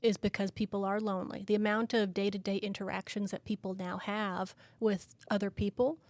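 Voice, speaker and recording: A woman from Canada, co-hosting a podcast and chatting in an animated, storytelling way with frequent laughter. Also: medium pitch, a little fast, no room sound. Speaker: podcast co-host. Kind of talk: casual chat.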